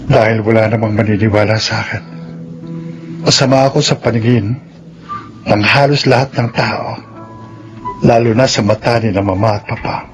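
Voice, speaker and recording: A man's voice: lowish voice, fairly even intonation, moderate pace, pauses often, close to an everyday voice. A man acting a wronged, resigned grown son and ex-convict in a Filipino radio drama, speaking earnestly and wearily. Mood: bitter, resigned. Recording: radio drama with music underneath.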